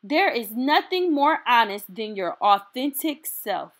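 Slow, lilting high-voiced woman reading aloud. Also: sing-song. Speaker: storytime host reading aloud. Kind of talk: children's picture book read-aloud.

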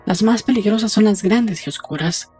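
A woman playing a brisk, practical young woman in an audiobook drama, talking in a matter-of-fact, conversational way. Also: mid-range voice, warm tone, husky, faint music behind. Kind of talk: audiobook dialogue acting.

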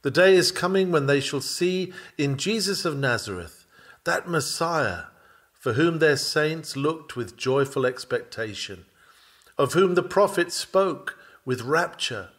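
A man reading a sermon aloud in a measured, solemn and formal manner. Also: mid-range voice, a bit bright. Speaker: sermon reader. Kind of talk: read-aloud sermon narration.

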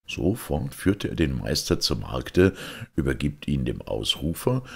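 A man reading a fairy tale aloud as scripted narration, with expressive storytelling delivery. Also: rumbling low, creaky, husky. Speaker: audiobook reader.

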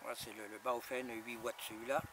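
A man in his fifties is heard from a few steps away outdoors, with clear background noise. His pitch is middling and he talks casually as a radio operator, in halting, repeated phrases. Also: flat delivery. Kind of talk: casual radio check chat. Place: outdoors in a gravel yard by a house. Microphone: camera a few metres away. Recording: distant camera mic, noisy open air.

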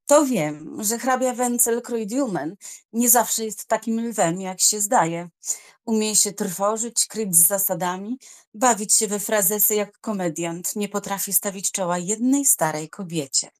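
A woman's voice: mid-range voice, a little slow, close to an everyday voice. An actress playing a stern, proud young noblewoman in an audiobook, curt and cutting in her speech. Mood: scornful.

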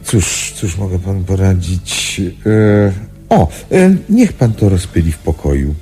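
An actor playing a calm sanatorium doctor in a Polish radio drama, explaining things in a measured, reassuring way. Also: male voice, low voice, soft background music, heavily acted.

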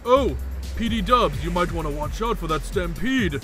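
A man voicing an eccentric, excitable old gentleman in a comedy cartoon, florid and over-dramatic. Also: high voice, moderate pace, faint music behind. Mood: theatrical, knowing.

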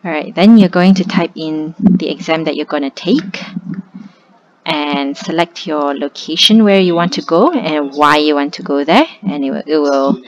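A young woman, tutoring in a relaxed, conversational step-by-step screen walkthrough. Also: deep voice, moderate pace. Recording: slightly muffled with faint hiss.

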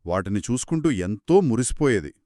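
A man telling a children's story in a conversational, expressive way, with exclamations and short questions. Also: fairly low pitch, a little fast, dry recording, studio quality.

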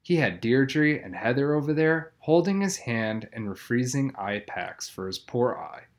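A man's voice: mid-range voice, very animated, unhurried. A man reading a children's novel aloud, telling the story expressively with plenty of emphasis. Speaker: audiobook reader.

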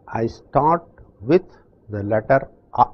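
An older man with a South Indian accent explains things slowly and deliberately, pausing between phrases. His voice is a little husky and sits at an average pitch. The recording is dull and lo-fi, with faint music underneath. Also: animated. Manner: slow, deliberate explanations with pauses. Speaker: language teacher. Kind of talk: slow classroom instruction. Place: classroom with chalkboard.

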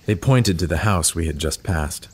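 An actor playing an earnest, uneasy man who tells his ordeal in measured, literary audio drama narration. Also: deep voice, expressive, breathy edge. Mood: grave, uneasy. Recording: music behind the voice.

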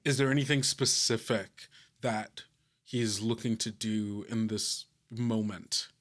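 A man acting as game master, narrating a tabletop game in a measured, scene-setting manner. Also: fairly low pitch, fairly even intonation, a bit bright.

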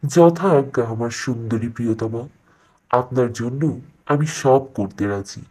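A man's voice: mid-range voice, a little slow, darkish tone, husky, rough recording. A man voicing an arrogant middle-aged sorcerer king in a fairytale cartoon, self-assured, wooing and scheming.

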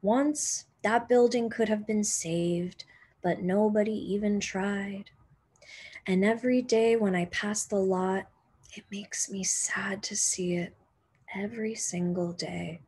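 A woman voicing a gruff, grumbling old man neighbour in a storybook, stern and emphatic. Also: medium pitch, steady tone, slow, a little mellow. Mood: regretful. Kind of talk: storybook character reading.

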